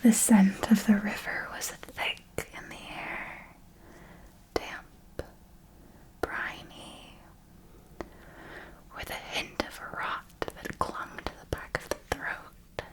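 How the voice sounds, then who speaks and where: A woman voicing a seductive, predatory female vampire mentor in a breathy whispered ASMR roleplay, with lingering, deliberate phrasing. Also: medium pitch, little pitch movement, close to an everyday voice, poor audio. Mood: seductive, savoring.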